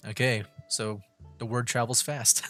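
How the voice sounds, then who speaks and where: A man's voice: mid-range voice, little pitch movement, unhurried, bright, forceful tone, faint music behind. A young man streaming a game from home, giving loose, rambling commentary full of "like" and restarts. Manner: rambling, heavy use of "like", restarts, laughs. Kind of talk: casual gameplay commentary.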